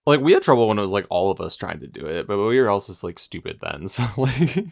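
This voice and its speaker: A man's voice: lowish voice, sing-song, fast talker, creaky. A man co-hosting a casual gaming podcast, chatting informally and peppering his sentences with "like". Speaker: podcast co-host. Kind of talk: casual chat about online gaming.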